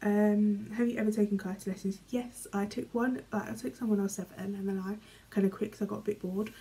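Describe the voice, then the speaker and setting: A young British woman vlogging from her bedroom, reading questions aloud and answering in a chatty, rambling way, often trailing off quietly. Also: medium pitch, fairly even intonation, fast talker, low-quality recording. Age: young adult. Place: small bedroom, indoors. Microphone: camera in front, medium framing.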